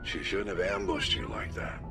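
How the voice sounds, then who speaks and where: An actor playing a gruff, supportive senior fleet commander in a video game. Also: male voice, sits low, lively intonation, moderate pace, over music. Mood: approving, brisk. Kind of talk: video game dialogue acting.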